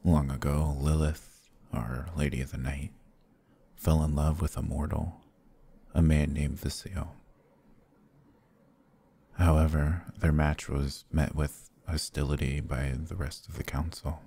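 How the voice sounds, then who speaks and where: Man acting a very deep, husky vampire lord. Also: level tone, heavily acted. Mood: solemn, measured. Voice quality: husky, low. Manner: formal, measured, soft-spoken. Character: courtly, gentle, possessive male vampire lord. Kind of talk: ASMR fantasy roleplay.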